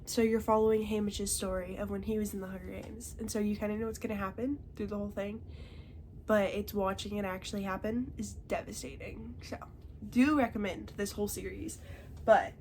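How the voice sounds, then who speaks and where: A woman's voice: mid-range voice, steady tone, fairly quick, darkish tone, slight background noise. A young woman with a congested voice chatting casually about the books she read, often checking in with "okay?". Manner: chatty, tags remarks with "okay?". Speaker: book reviewer. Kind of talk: casual chat.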